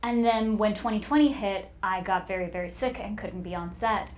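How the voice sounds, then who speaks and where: A woman's voice: mid-range voice, moderate pace, darkish tone. A woman, a voice actor, telling personal stories in casual interview chat with playful emphasis.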